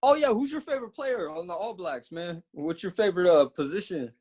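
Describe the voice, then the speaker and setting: A man's voice: high voice, expressive, brisk, darkish tone. A man co-hosting a comedy podcast who teases the others in a needling way. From Los Angeles. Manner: teasing, needling. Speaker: podcast co-host. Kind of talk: casual comedic chat.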